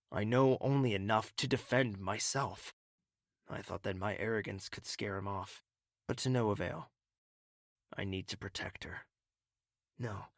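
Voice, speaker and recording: A man's voice: fairly low pitch, a bit bright, no room sound, studio quality. A man playing a troubled, self-doubting young royal in an audio drama, with an earnest, hesitant, introspective manner.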